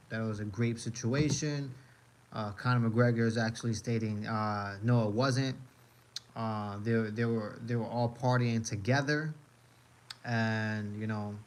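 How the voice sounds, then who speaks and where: Male streamer from the US, casual running chat, rambling and repetitive, middle pitch. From United States. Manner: rambling, repeats phrases, many fillers. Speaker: live streamer. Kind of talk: casual live-stream chat reacting to videos.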